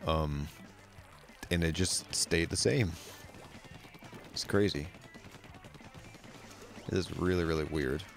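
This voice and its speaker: A young man with a very deep voice casually commenting on his game, laid-back and swearing a lot. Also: takes it slowly, creaky, long pauses, soft background music. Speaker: game streamer. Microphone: studio mic with bass roll-off.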